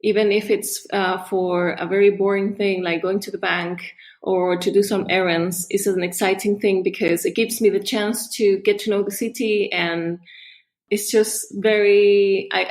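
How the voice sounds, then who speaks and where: A woman from Chile, a guest on a podcast, talking in an animated, casual way with restarts and frequent laughs. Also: medium pitch, normal speed. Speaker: guest artist. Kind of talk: casual interview chat.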